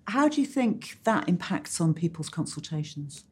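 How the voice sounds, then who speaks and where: A woman's voice: lowish voice, sing-song, normal speed, breathy edge. A woman co-presenting a discussion with a fellow doctor, enthusiastic, often ending points with tag questions. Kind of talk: conversational discussion.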